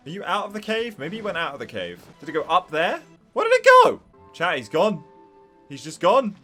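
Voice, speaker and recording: A man's voice: fairly high pitch, very animated, brisk, sharp tone, soft background music. A man streaming a video game live, reacting playfully and casually with lots of exclamations.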